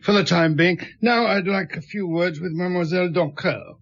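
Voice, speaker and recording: An actor playing a calm, patient police inspector in a radio drama, questioning in a measured, courteous way. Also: male voice, high voice, vocal fry, muffled recording. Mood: measured, probing.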